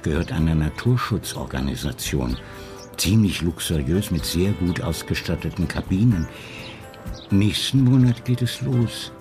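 Ein Schauspieler spricht in einem Hörspiel einen gebrechlichen, selbstsicheren alten Polizeichef im Ruhestand, der bedächtig argumentiert. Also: male voice, sits low, exaggerated voice. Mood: persuasive. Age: older. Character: frail, self-assured old retired Dutch police chief. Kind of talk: radio play acting.

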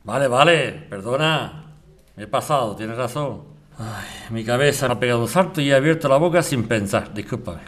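A man's voice: mid-range voice, animated, normal speed, natural voice. A man acting an earnest, apologetic married suitor in an amateur radio drama.

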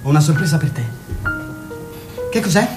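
A woman's voice: low voice, moderate pace, music in the background. A woman voicing a restless teenage son in a radio play, bitter toward his mother and coaxing with his sister. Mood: gentle coaxing. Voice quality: expressive, pleading and mocking. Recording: roomy echo.

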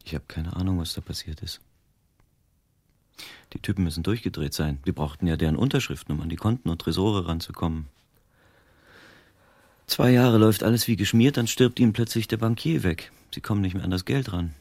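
Deep-voiced, world-weary private detective. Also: male voice, a little fast, pauses often, darkish tone, no room sound. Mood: sober, resigned. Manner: emphatic, explaining, colloquial. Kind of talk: German radio drama acting.

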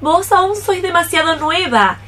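A woman's voice: extremely high pitch, lively intonation, pushed and bright, full character voice. An actress playing a scolding, scheming old mother cockroach in a theatrical, exclamatory radio play style. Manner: exclamatory, theatrical, drawn-out phrases. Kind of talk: radio theatre acting in archaic poetic Spanish.

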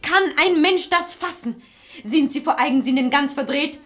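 A woman playing a sharp-tongued, resourceful maidservant in a German radio verse comedy, brisk, exasperated and commanding. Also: high voice. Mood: exasperated, bossy. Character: sharp-tongued, resourceful maidservant, bossy matchmaker of quarrelling lovers.

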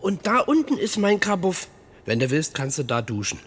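An actor playing a gruff, bossy but protective caretaker in a radio play, blunt and colloquial. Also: male voice, high voice, sing-song, normal speed, fairly bright tone. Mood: chatty, instructing. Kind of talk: naturalistic German radio play acting.

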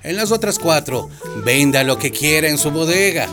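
An actor playing a stern but lenient judge in a radio drama, speaking in a measured, formal and wryly amused way. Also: male voice, a little high, lively intonation, over music, natural voice. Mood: lenient, instructing.

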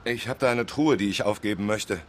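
A man's voice: fairly low pitch, fairly quick, slight background noise, natural voice. An actor playing a hapless, pious, easily panicked man in a radio crime drama. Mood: uneasy. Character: hapless, pious, panicky man desperate over his treasure.

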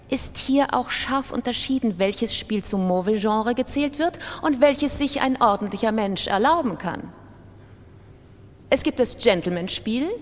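A woman reading as a compulsive gambler in a literary radio drama, analytical, scornful and self-justifying, with deliberate, emphatic phrasing. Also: fairly high pitch. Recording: long hall reverb.

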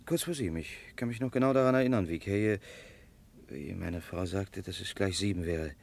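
A murder suspect in an old crime radio drama, with a very low voice. He is guarded and hesitant, insisting by repeating himself. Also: normal speed, vocal fry, darkish tone. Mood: guarded, insistent. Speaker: actor in a crime radio play. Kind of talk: vintage German crime radio play acting.

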